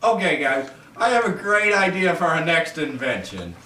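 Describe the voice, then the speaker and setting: Man playing a bossy puppet mouse inventor, high bright voice, stammering, crude, distant echoey camera mic. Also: very animated, moderate pace, slight background noise. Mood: eager. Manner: stammering, repeats phrases. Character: excitable young male toy-mouse inventor, bossy and crude. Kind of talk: amateur comedic puppet show.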